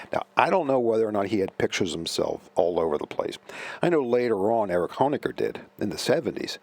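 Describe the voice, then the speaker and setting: Chatty middle-aged man, low voice. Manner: rambling, chatty, lots of fillers and self-corrections. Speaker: vintage computer channel host. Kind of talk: casual commentary.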